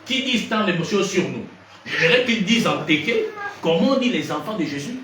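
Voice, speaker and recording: A man's voice: high-pitched, fairly quick. A man from Gabon preaching in to a congregation, keeps firing questions at the listeners. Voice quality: lively, questioning delivery that probes the audience. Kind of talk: sermon teaching to a congregation. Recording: echoey room from far off, noisy.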